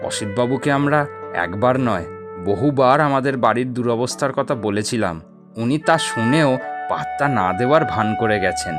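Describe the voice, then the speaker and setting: A man voicing a worried, kindly, poor villager in a cartoon, speaking in an expressive, plain-spoken way. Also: mid-range voice, normal speed, over music, natural voice. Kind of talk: Bengali cartoon dialogue.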